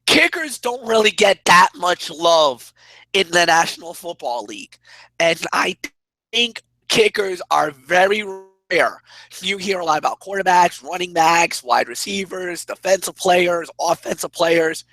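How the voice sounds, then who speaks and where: A man with a hoarse, raspy voice, co-hosting casual sports talk and rambling excitedly with restarts. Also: high voice, a little slow, no room sound, low-quality recording. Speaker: co-host.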